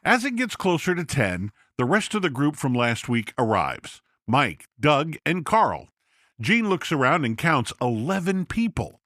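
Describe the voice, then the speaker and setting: Man narrating a story with lively pitch. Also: unhurried, no echo, clean studio sound. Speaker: podcast narrator.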